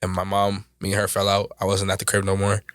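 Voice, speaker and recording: A man's voice: low-pitched, completely flat delivery, fast, no echo, studio quality. A young man from Canada, a rapper guest telling loose, rambling stories full of slang on a casual podcast.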